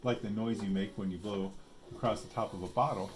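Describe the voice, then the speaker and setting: A man's voice: fairly low pitch, steady tone, fairly quick, noisy background. A man reading a picture book aloud with casual asides, explaining simply as if to a young child. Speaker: storybook reader.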